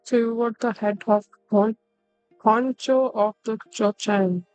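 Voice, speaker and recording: A woman's voice: a little high, steady tone, takes it slowly, a little mellow, no room sound, faint music behind, poor audio. A woman streamer giving short, casual live reactions to a game.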